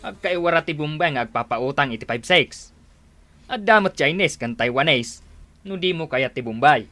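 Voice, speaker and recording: Brash, bright-voiced Ilocano buddy. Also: male voice, a little high, expressive, moderate pace, dry recording. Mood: advising. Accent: Ilocano Filipino. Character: brash, scheming male buddy who tempts his friend. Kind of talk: Ilocano radio drama acting.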